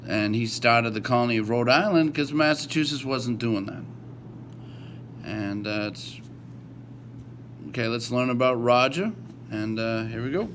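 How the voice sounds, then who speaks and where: An American man reading aloud informally like a read-along host, halting at times and correcting himself as he goes. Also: mid-range voice, fairly bright tone, slight background noise.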